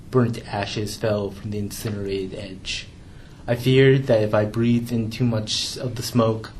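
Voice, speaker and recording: A young man reading aloud from his own memoir, in a measured, restrained way with the odd stumble. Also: lowish voice, darkish tone, slight background noise, poor audio. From Canada.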